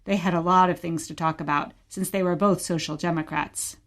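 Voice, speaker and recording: A woman acting a gentle, patient mother who tells stories of her childhood in a scripted radio play. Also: lowish voice, fairly even intonation, brisk, lightly acted. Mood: patient storytelling. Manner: patient, storytelling.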